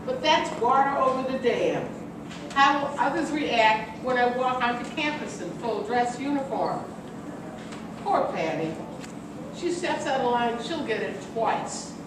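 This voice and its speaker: A woman's voice: fairly high pitch, animated, unhurried. An older woman playing a stern, anxious military officer and mother in an amateur radio play, recorded from far off in an echoing room. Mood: reflective. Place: large echoing room, distant microphone. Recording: very echoey hall from far off, noisy.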